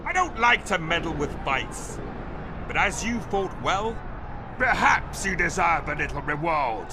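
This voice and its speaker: An actor in a video game playing a mocking, gloating master of a fighting pit, with a sneering, theatrical, taunting delivery. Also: male voice, fairly high pitch, very animated, measured pace, background noise. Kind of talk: dramatic video game voice acting.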